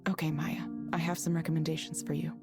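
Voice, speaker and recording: A woman playing a reserved counselling proxy in a video game, speaking in a measured, neutral, detached way. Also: low voice, little pitch movement, lightly acted. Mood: calm, scripted. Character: composed, reserved woman reading an AI counsellor's script. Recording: music behind the voice.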